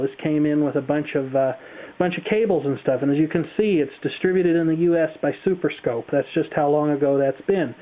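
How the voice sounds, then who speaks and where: A phone-like, narrow recording of an American man with a fairly high-pitched voice. He talks through a how-to demonstration casually, chatting as he goes and carefully explaining each step. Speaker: tutorial presenter. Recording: thin, phone-like band-limited sound.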